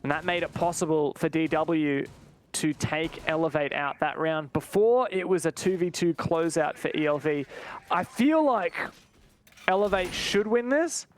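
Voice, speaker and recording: A man with a distinctly high-pitched voice commentates a live esports match. His phrasing is dramatic and colloquial, and faint noise sits behind him. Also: unhurried.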